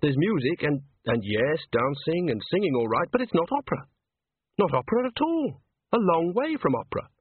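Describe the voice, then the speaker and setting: A man's voice: mid-range voice, expressive, breathy edge, dry recording, pitched above the performer's own voice. A mild, earnest young man, put on by a man telling a story, puzzled and a little awkward. Speech halts, with very mobile intonation. The recording is thin and narrow. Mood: amazed. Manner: awkward, halting. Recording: thin narrow-band phone-like sound.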